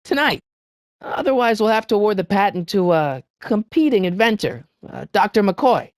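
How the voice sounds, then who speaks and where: A man's voice: high-pitched, expressive, dry recording, clean studio sound. A young man with an American accent voicing an earnest, anxious teenage boy in a video game, eager to persuade and often hesitant. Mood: eager, persuading. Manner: hesitant, stammering, eager, fast-talking persuader. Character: earnest, anxious teenage boy hero of a time-travel adventure. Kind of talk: video game character dialogue.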